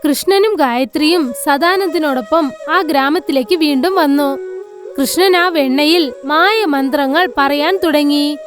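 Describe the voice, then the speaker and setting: A woman's voice: sits high, unhurried. A woman narrator reading a scripted children's moral story. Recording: faint music behind narration.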